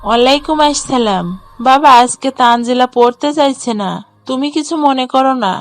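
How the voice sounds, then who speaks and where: A woman playing a kindly, hospitable middle-aged mother with a gentle, apologetic manner. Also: high voice, normal speed, dry recording, soft background music, natural voice.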